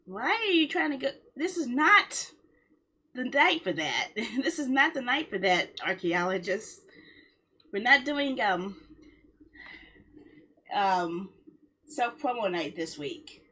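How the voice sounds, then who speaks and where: A woman chatting casually on a livestream in a rambling way, with a husky voice. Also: a little high, sing-song, slow, pauses often, bright, forceful tone. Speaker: live streamer.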